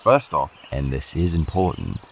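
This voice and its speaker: A man's voice: very deep voice, sing-song, normal speed, creaky, a little hiss or hum, exaggerated voice. A man in a radio play acting a solemn, mysterious guide who gives instructions.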